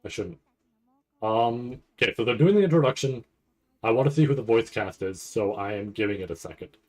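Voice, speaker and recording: A man with a fairly low voice talks like a streamer reacting live. He rambles casually, thinks out loud as he goes and swears freely. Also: a little fast. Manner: rambling, thinking aloud, profane. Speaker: streamer reacting to an anime trailer.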